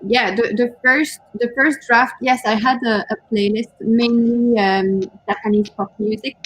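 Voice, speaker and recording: A woman whose first language is not English, chatting casually as co-host of a writing stream, often pausing and hunting for words. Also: on the high side, steady tone, slightly rough, no room sound, poor audio. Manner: hesitant, searching for words, giggly. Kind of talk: casual chat on a writing livestream.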